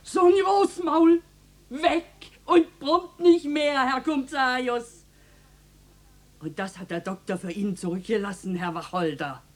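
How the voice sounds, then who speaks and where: Sharp-tongued Berlin landlady, high voice, indignant and earthy, radio drama. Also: unhurried, a little hiss or hum. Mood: scornful. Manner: scolding, colloquial. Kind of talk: classic German radio play acting.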